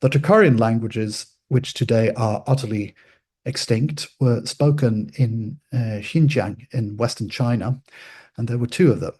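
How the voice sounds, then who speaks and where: A man whose first language is Swedish, explaining ancient grammar in an informal scholarly way, pausing to restate and qualify his points. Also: medium pitch, darkish tone, husky.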